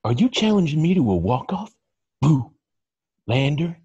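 A smug, easygoing hippie model acted by a man, dismissive and slangy. His pitch swings widely as he drawls, and the video-call sound is dry and a little dull. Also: very animated, moderate pace, dark tone, husky, dry recording, heavily acted. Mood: smug, taunting. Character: laid-back, cocky hippie male model, smug rival. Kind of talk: amateur comedy table read over video call.

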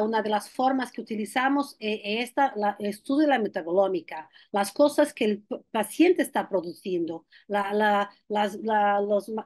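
A woman's voice: mid-range voice, no room sound. A woman in her thirties from Portugal, a clinical nutritionist presenting a webinar over a video call, speaking with a Portuguese accent and stopping often to restart her sentences. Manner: hesitant, many restarts and fillers. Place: home room with bookshelves.

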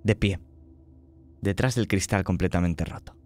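A man narrating a horror tabletop game as game master, slow and hushed, voicing small sound effects. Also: deep voice.

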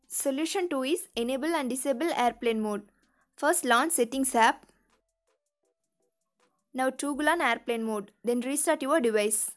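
High voiced lady reading out step by step how-to instructions, scripted, music in the back. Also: measured pace, long pauses. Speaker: tutorial narrator and channel host. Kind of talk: scripted step-by-step tutorial narration. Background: background music.

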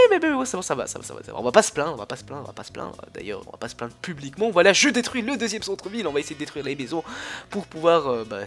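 A young man with a cold who coughs now and then, chatting casually and rambling through his commentary on a strategy game, full of fillers and restarts. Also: on the high side. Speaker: gaming YouTuber.

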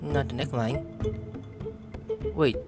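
A man's voice: mid-range voice, steady tone, takes it slowly. A man hosting a gaming video, muttering and thinking aloud in casual commentary. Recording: loud game music behind the voice.

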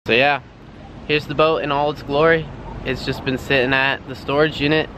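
A man's voice: mid-range voice, normal speed, over music. Casual young man vlogging with a handheld camera. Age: young adult. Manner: relaxed, rambling, many fillers. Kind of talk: casual vlog update. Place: outdoors at a storage lot, in a truck, and in a home garage. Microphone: handheld camera at arm's length.

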